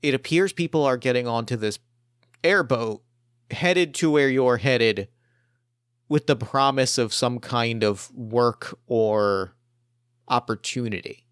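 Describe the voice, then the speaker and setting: A man improvising narration as game master of a tabletop roleplaying game, speaking haltingly and repeating phrases as he builds his descriptions. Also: mid-range voice, smooth, no room sound, studio quality.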